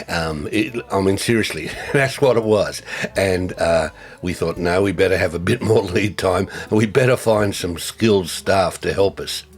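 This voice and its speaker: An older man, giving a reflective tribute in a halting way with many fillers and restarts. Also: low voice. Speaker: former ministry co-worker.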